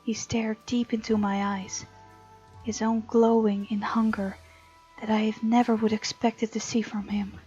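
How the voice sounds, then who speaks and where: A woman voicing a bold, tender young heroine who narrates a visual novel romance in a breathy, often whispery voice. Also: a little high, flat delivery, unhurried, warm tone. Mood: intimate, hushed. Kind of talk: hushed dramatic reading of a visual novel. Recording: muffled lo-fi mic, music underneath.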